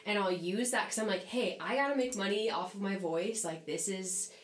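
A young adult woman, a singer, chatting casually as a podcast guest, slightly nasal from a recent sickness, animated and quick to laugh. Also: mid-range voice, reverberant, a little hiss or hum. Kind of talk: casual conversation.

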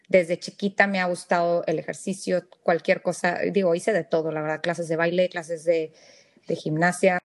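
A woman in her thirties with a northern Mexican accent speaks candidly as a podcast guest, recounting her life in an earnest, unpolished way. Her voice sits at a middle pitch, and her words pile up in restarts and fillers as she talks. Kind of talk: personal storytelling in interview.

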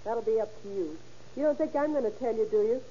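An actress playing a tough, sassy convict's wife who stonewalls questioners in a 1930s radio crime drama. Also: female voice, a little high, quick pace, dark tone, natural voice. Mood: defiant. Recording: muffled, noisy old radio recording.

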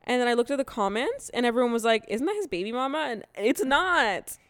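A woman's voice: a little high, animated, fairly bright tone, no echo, clean studio sound. Stuffy-nosed young woman gossiping on a podcast. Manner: chatty, gossipy, quick reactions. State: stuffy nose from allergies. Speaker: podcast co-host. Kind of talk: casual chat.

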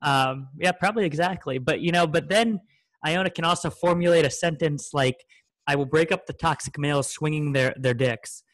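A man's voice: a little high, moderate pace, fairly bright tone, no room sound, rough recording. A man in his thirties hosting a podcast, talking in a loose, joking way with many fillers. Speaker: podcast host. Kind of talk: casual podcast chat.

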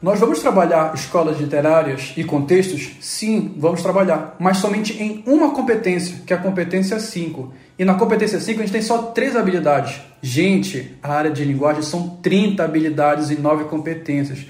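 A man teaches in an emphatic, lecturing manner during a panel talk. His voice sits a little high. An echoey room surrounds him. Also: moderate pace, few pauses, a little mellow. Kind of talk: educational panel discussion.

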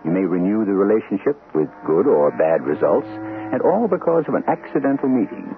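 A man hosting an old-time radio mystery, narrating in a theatrical, portentous, gravelly voice on a narrow vintage recording. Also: lowish voice, very animated, brisk, slight background noise. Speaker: host and narrator.

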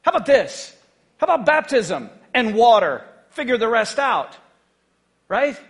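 A man's voice: very high voice, big pitch swings, measured pace, fairly bright tone. A man preaching a conversational sermon to his church, joking and often checking in with the congregation. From United States. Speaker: pastor.

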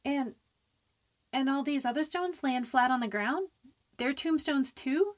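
A woman's voice: high-pitched, unhurried. A woman playing a brash, wisecracking young woman in an old-time radio drama, slangy and blunt. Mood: defiant, exasperated.

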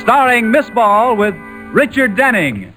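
A man's voice: very high voice, sing-song, a little slow, poor audio. A man working as a radio announcer, reading scripted introductions and narration in a 1940s broadcast style. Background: music.

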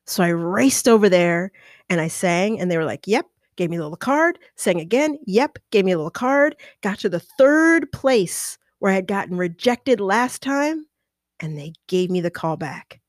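A middle-aged woman with a lower, mezzo-alto voice tells a personal story on her podcast. She is animated and expressive, talking with her hands. The sound is dry and close to the microphone. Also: moderate pace, no echo. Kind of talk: casual storytelling.

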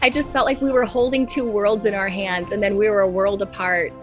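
Heartfelt female guest, soft and earnest, on a muffled mic. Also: mid-range voice, steady tone, brisk, soft background music. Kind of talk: interview answers.

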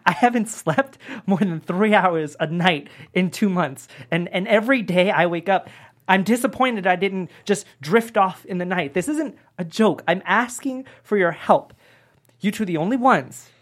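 A woman playing a gravely ill, weary man who is resolute and insistent, in a dramatic script reading. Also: mid-range voice, lively intonation, moderate pace, close to an everyday voice. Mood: weary pleading. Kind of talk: screenplay table read.

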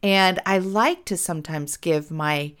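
A middle-aged woman hosts a casual podcast, talking in an animated, self-deprecating way. Her voice sits fairly low and sounds a bit hoarse from a cold. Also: a little slow. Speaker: podcast host.

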